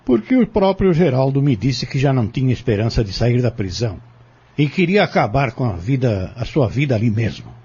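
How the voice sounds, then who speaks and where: Guy playing a scheming vengeful man on the stand in a radio play, really raspy, voice swings up and down. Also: medium pitch, big pitch swings, fairly quick, dark tone. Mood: cold, calculating. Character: cunning vengeful male defendant confessing in court. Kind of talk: audio drama acting. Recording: dull lo-fi audio.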